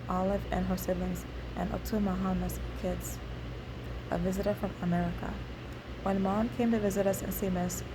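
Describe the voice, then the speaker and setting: Woman narrator, memoir reading, level monotone delivery, clear background hiss. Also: medium pitch. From Nigeria. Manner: steady, unhurried reading with occasional restarts. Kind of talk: reading her memoir aloud.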